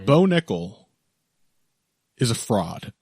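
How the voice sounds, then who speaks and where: A man's voice: lowish voice, sing-song, slow pace, a little mellow, husky, no room sound, studio quality. A male podcast co-host chatting casually and analytically about fights, teasing his co-host and laughing easily.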